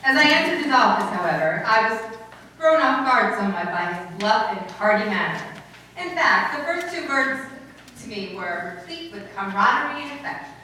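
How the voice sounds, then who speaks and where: A roomy, distant recording of a woman acting as a sardonic schoolteacher in a radio comedy. Her delivery is animated and bright, with dry wisecracks tucked under politeness. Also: on the high side, moderate pace. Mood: wry narration. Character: wry, sardonic female high school English teacher. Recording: echoey room from far off, faint noise.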